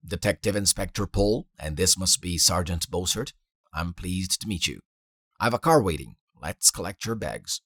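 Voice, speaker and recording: A man's voice: low voice, expressive, normal speed, a bit bright, dry recording, studio quality. A man reading an audiobook in a theatrical style, acting out different characters. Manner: theatrical, shifting between many characters. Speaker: audiobook narrator demonstrating character voices.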